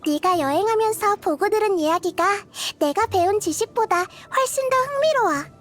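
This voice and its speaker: A woman voicing a gentle, curious little girl deity of wisdom in a game, soft-spoken and thoughtful, as if kindly explaining. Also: very high voice, expressive, moderate pace. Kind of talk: scripted game character voice lines. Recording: music behind the voice. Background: background music.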